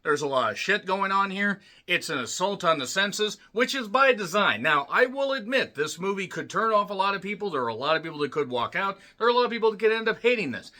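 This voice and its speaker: A man with a slightly rough, worn voice giving a casual spoken movie review, blunt and full of swearing, clearing his throat often. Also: high-pitched, very animated, quick pace, hardly any pauses, pushed and bright. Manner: blunt, profane ranting with frequent throat clearing. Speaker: film reviewer.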